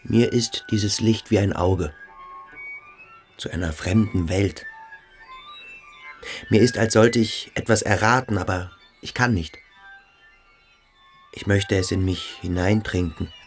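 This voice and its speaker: A man playing a brooding, earnest cadet in a radio drama, hushed and searching. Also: on the low side, takes it slowly, long pauses, soft background music. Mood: earnest, dreamy. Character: brooding, earnest male cadet at a boarding school. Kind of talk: literary radio drama acting.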